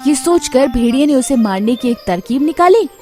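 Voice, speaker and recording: High-voiced woman narrating children's stories. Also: animated, normal speed, dark tone, faint music behind. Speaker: storyteller for a children's story channel. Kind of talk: scripted children's story narration.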